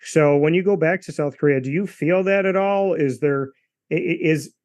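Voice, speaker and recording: A man's voice: a little high, normal speed, a little mellow. A man hosting a sports talk show, asking a guest long, winding questions and restarting his sentences. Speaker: podcast host, interviewer.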